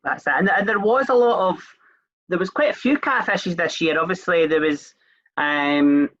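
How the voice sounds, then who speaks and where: A man with a fairly high, bright, forceful voice hosts a casual interview over a video call. His audio sounds dull and lo-fi, and he keeps his turns short and agreeable, nudging the talk along. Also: normal speed. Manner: brief, agreeable backchannel replies. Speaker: host.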